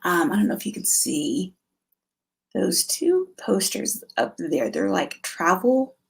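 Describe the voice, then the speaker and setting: A middle-aged woman hosting a vlog, talking casually and conversationally, thinking aloud as she gives recommendations, with a slightly husky voice. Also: on the low side, big pitch swings, slow. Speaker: homeschool vlog host. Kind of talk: casual informal product recommendations to camera.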